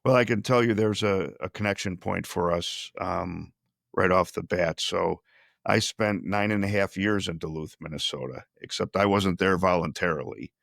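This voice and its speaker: A man hosting a podcast interview, speaking in a measured way with frequent restarts and fillers. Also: deep voice, vocal fry, fairly bright tone, dry recording, clean studio sound. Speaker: podcast host. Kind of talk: conversational interview.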